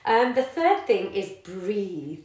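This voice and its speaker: A woman's voice: mid-range voice, very animated, slow. A woman, a yoga teacher and parent chatting casually to live viewers with many ums. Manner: warm encouraging, many ums, greets viewers by name. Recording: echoey room.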